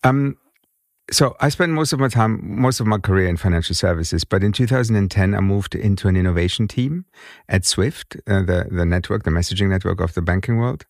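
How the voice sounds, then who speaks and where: A man's voice: low voice, a little fast, creaky, no room sound, clean studio sound. A man in his fifties who grew up in Germany, with an accent that mixes British and German, telling his story as an interview guest with frequent restarts and repeated words. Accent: mixed British and German. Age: 50s. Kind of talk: conversational interview.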